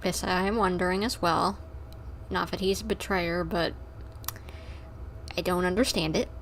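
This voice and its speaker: A woman streaming a video game in a chatty, playful way. Her voice sits a little low, and there is faint noise in the background. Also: steady tone, a little slow, fairly bright tone. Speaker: game livestreamer. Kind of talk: casual live commentary on a game.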